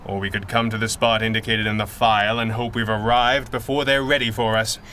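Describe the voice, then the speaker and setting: A man's voice: fairly low pitch, level tone, a little fast, bright, forceful tone, a little hiss or hum. A man playing a guilt-ridden masked crime fighter in a 1930s-style radio adventure, speaking with formal, earnest, dramatic diction. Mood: grave, resigned.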